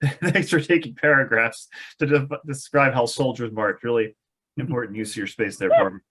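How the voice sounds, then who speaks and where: A man's voice: medium pitch, normal speed, fairly bright tone, breathy edge. A man reading screenplay stage directions aloud at a table read, with an amused, sardonic manner. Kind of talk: table read of a film script with wry asides.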